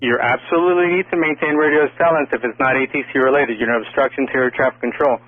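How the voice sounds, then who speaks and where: A man's voice: mid-range voice, fairly even intonation, quick pace, narrow, tinny audio. A male air traffic controller on the radio, giving clipped instructions and rebukes in a firm, curt manner.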